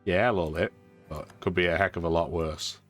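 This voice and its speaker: A man's voice: deep voice, steady tone, quick pace, a bit bright. A man with a stuffy, bunged-up voice giving casual, dry, chatty commentary as he plays a strategy game. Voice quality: congested, stuffy nose. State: lingering sore throat, blocked sinuses. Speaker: gaming video host. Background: background music.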